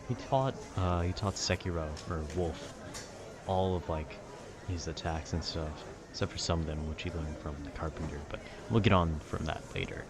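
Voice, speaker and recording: A young man rambling casually in a nerdy, slangy, meandering way, often trailing off and losing his thread. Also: sits low. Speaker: audio content creator rambling to listeners. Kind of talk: casual rambling talk about video games. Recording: clear background noise, urban ambience.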